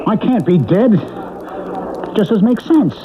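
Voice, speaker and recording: An actor playing an indignant, stubborn husband in a radio drama, protesting and arguing emphatically that things are wrong. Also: male voice, high voice, very animated, unhurried, a crowd in the background. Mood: insistent. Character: indignant, stubborn suburban husband insisting he is not dead. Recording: muffled, noisy vintage radio broadcast.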